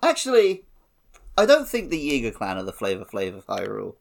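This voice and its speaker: A man's voice: fairly low pitch, wildly swooping pitch, a little fast, vocal fry. A man co-hosting a podcast, chatting casually and jokily in an informal way. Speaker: podcast co-host. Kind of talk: casual chat, game playalong podcast.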